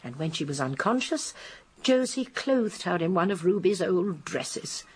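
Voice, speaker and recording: A woman's voice: lowish voice, very animated, a little slow, darkish tone, breathy edge, exaggerated voice. An actress playing a shrewd, genteel elderly English spinster detective in a radio drama, precise and emphatic as she explains. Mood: grave, explanatory. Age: older. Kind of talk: British radio drama acting.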